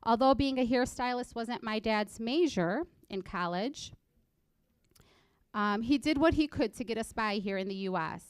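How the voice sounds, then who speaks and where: A nineteen-year-old woman from Egypt, raised in Illinois, reading a prepared personal story in an earnest, measured way at a college library event. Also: a little high, clear, resonant tone, no room sound. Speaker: student speaker.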